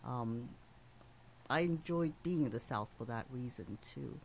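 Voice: medium pitch, lively intonation, a little slow, vocal fry, a little hiss or hum, rough recording. Playful fiction writer, laughing often. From outside the US. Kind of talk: conversational panel talk.

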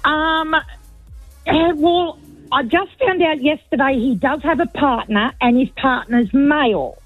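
A woman's voice: high-pitched, a little slow, faint music behind. A middle-aged woman with an Australian accent, chatting casually as a caller to a radio phone-in over a tinny phone line. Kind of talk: casual personal chat.

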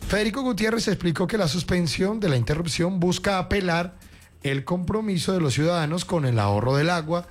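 A man in his fifties with a Paisa Colombian accent hosts radio news, moving between reading stories and colloquial chat. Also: on the high side. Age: 50s. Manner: conversational, colloquial with diminutives. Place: radio studio. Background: music under the voice.

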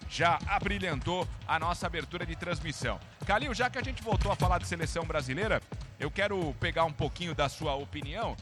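A Brazilian man hosting a live sports broadcast, emphatic, with drawn-out hand-offs. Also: on the high side, lively intonation. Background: music bed.

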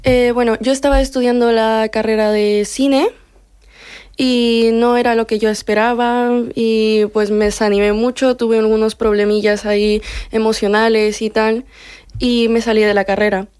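A woman's voice: a little high, flat delivery, normal speed, a little mellow, clean tone. A nineteen-year-old woman with a Mexican accent, talking casually about herself as an interview guest. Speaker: interview guest, youth program participant. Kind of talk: casual personal account in an interview.

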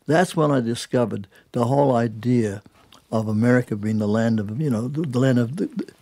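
An older man from New Zealand speaks as a guest on a music show, his middle-pitched voice husky and full of gravel. He tells stories informally, leaning hard on his points and often cutting across his own sentences. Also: brisk, dark tone. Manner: emphatic, colloquial, self-interrupting. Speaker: jazz pianist, studio guest. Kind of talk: casual conversational interview, telling anecdotes.

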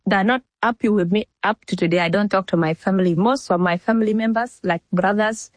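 A woman's voice: mid-range voice, fairly quick, no echo. A nineteen-year-old woman with a South Sudanese accent answering interview questions frankly, repeating phrases for emphasis. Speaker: fashion model, interviewee.

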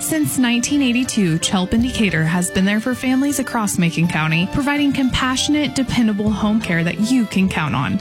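A woman doing a scripted commercial voice-over for radio. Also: medium pitch. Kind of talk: scripted ad read.

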